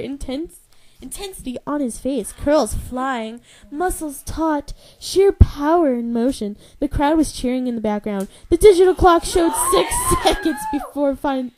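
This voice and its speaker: A young woman narrates a novel aloud in an informal, amateur way. Her voice is high, and she is giggly, often stumbling and correcting herself. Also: darkish tone. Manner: giggly, stumbles over words and self-corrects. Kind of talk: informal dramatic reading of a novel.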